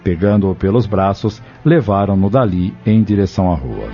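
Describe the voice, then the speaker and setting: A man's voice: deep voice, animated, normal speed, over loud music. A man narrating an audio novel from a script. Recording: dull lo-fi narration recording.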